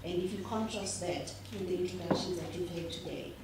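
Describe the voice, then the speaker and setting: A woman's voice: lowish voice, a little fast, heavy background noise. Woman speaking in an echoey room. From South Africa. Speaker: speaker at a women's gathering. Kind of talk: impromptu speech to a gathering. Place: function room at a breakfast event. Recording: echoey room, far from the camera.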